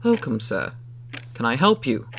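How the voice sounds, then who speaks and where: A man voicing a tall, mid-thirties shopkeeper in a storybook, soft and authoritative, formal, measured and quietly menacing. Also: mid-range voice, wildly swooping pitch, creaky, slight background noise, full character voice. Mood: smooth, patient.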